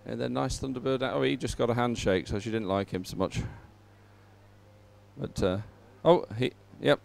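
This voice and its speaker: Casting: male live event commentator, smooth clear voice, informal and chatty, hesitant thinking-aloud delivery. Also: mid-range voice, fairly even intonation, normal speed, darkish tone.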